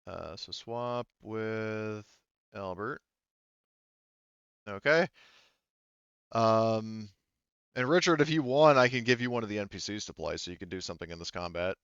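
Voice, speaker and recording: Guy running a tabletop rpg, lowish voice, halting and casual, close dry mic, kinda muffled. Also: a bit bright, clean tone, dry recording. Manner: halting, thinking aloud. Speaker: game master.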